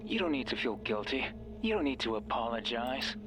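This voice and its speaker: Male, sinister manipulative voice from a horror game radio performed by an actor, coaxing and possessive, mid-pitched, music underneath. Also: big pitch swings, a little fast, lightly acted. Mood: coaxing, taunting. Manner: coaxing, commanding. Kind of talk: horror visual novel voice acting.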